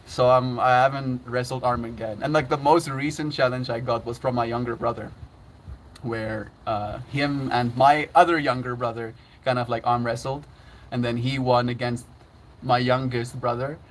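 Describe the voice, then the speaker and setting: A man's voice: mid-range voice, fairly even intonation, normal speed, slight background noise, low-quality recording. Chatty man on a livestream. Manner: chatty, laughs often, reads chat aloud. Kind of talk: casual livestream chat.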